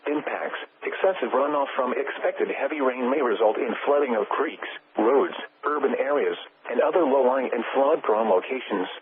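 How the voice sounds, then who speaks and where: A computer-generated male voice reading weather bulletins in a mechanical, even-paced way with abrupt jumps in pitch. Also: normal speed, few pauses, background noise. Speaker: automated weather radio announcer. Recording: tinny weather radio receiver.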